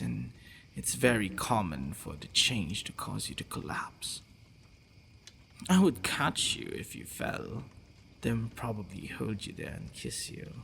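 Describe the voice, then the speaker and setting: A man playing a possessive, teasing, devoted vampire boyfriend in an intimate ASMR roleplay, speaking in a hushed, breathy way with hesitant pauses. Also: on the low side, slight background noise, exaggerated voice. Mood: gentle, teasing.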